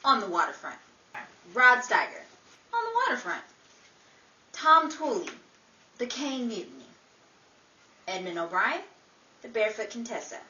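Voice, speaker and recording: A woman reads nominee lists as a presenter, high and bright with lots of swing in pitch. The room echoes around her, with faint hiss. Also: sing-song, a little slow, long pauses, distant mic, dull audio. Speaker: award presenter. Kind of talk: scripted reading of nominees.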